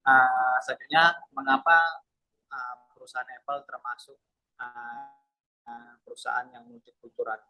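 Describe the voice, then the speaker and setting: A young man from Medan moderating a class group presentation. He talks formally, reads from slides and often pauses on "eh". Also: medium pitch, lo-fi sound, soft background music. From Medan, Indonesia. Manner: formal, filler-heavy, reads slides in an even voice. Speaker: student moderator.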